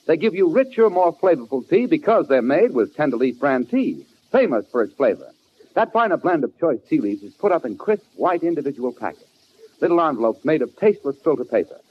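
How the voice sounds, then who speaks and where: A man's voice: on the high side, slightly rough. A man reading a scripted 1940s radio commercial with polished, emphatic salesmanship on a muffled recording. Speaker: commercial announcer.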